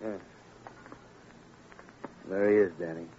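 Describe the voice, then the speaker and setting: A man's voice: low-pitched, fairly even intonation, takes it slowly, dark tone. An actor playing a plain-spoken, informal city policeman at a crime scene in an old radio crime drama. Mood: matter-of-fact, musing. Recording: dull, faintly noisy old radio recording.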